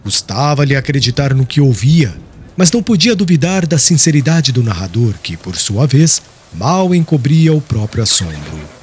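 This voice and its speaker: A man's voice: mid-range voice, very animated, moderate pace, slight background noise. A man narrating a literary audiobook in a solemn, dramatic storytelling style.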